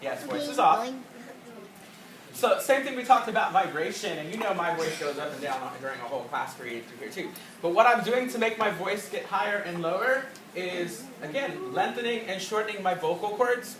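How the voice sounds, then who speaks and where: A man's voice: high-pitched, animated, moderate pace, crowd noise. A man music teacher explaining to a class how the voice works, demonstrating by singing. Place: school classroom. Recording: echoey classroom from far off with loud noise.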